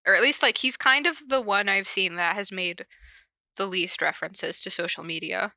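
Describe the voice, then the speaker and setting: A woman's voice: medium pitch, normal speed, creaky, sharp tone, no room sound. A woman hosting a podcast in a casual, giggly, self-mocking and informal way, introducing readings. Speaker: podcast host introducing fan fiction readings. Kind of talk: casual chat with read-out summaries.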